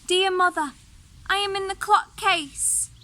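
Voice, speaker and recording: A woman voicing a small, frightened young goat in a storybook reading, pleading and high. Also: fairly even intonation, unhurried, sharp tone, full character voice. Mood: scared, pleading.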